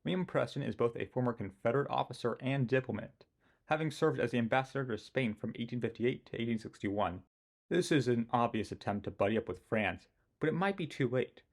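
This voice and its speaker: A man narrates history from a script at a middle pitch, mixing the events with blunt opinions of his own. Now and then he breaks off to poke fun at his own pronunciation. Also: fairly quick, gravelly. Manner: opinionated, with self-mocking asides.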